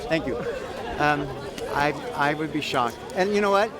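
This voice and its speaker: An American man gives a spontaneous outdoor interview in a somewhat high-pitched voice. He is emphatic and sure of himself, often repeating a phrase to drive it home. A crowd is clearly audible around him. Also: animated, normal speed. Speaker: activist. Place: outdoors at a public rally. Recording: outdoor crowd noise behind voice. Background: crowd chatter.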